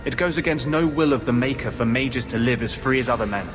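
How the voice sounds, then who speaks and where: Man playing an earnest rebel mage, low-key intensity. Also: fast talker, close to an everyday voice. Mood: earnest, determined. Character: earnest, defiant rebel mage healer, principled and driven. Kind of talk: dramatic video game voice acting. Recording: music behind the voice.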